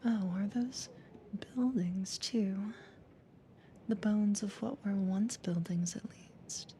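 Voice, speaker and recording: Actress for creepy female therapist, ASMR hypnosis roleplay: mellow, breathy whisper, unhurried, calm and ominous control. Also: mid-range voice, a little hiss or hum. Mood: hushed, eerie. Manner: slow, deliberate, soothing yet ominous.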